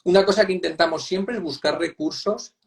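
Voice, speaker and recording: A man's voice: high voice, fairly quick, gravelly. A man with an accent from Spain, explaining things conversationally and emphatically as a guest psychotherapist, often checking for agreement. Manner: emphatic, repeats points, seeks agreement with tag questions. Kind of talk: conversational expert explanation in an online interview.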